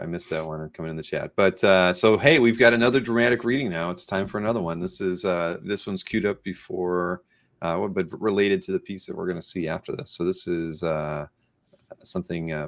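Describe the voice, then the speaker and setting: Male host, low-pitched voice, agreeable and conversational, for an informal live-stream interview. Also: a little fast.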